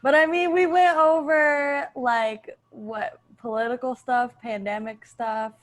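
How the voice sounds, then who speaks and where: A woman's voice: high-pitched, steady tone, slow pace. A woman hosting a comedy podcast, chatting casually with the other performers after an improvised scene. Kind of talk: casual post-show banter.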